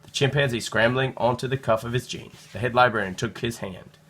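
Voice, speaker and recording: A man narrating a children's storybook in an expressive read-aloud style. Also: mid-range voice, fairly quick.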